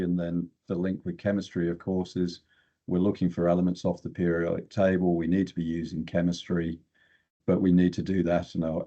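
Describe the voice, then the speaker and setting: A man's voice: low voice, mellow, slightly rough, highs cut off. An older man, an exploration geochemist, telling stories casually as an interview guest.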